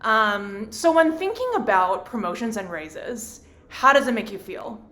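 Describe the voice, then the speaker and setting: A woman's voice: on the high side, big pitch swings, unhurried, pushed and bright. A woman from Hong Kong moderating a virtual panel, upbeat and chatty.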